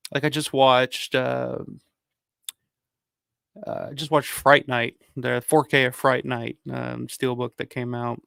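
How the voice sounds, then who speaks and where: Hoarse, gravelly man rambling on a livestream. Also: mid-range voice, fairly bright tone, no room sound, studio quality. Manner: rambling, profane, frequent restarts; reads out viewer comments. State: hoarse from a cold. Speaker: livestream host. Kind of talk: casual livestream chat.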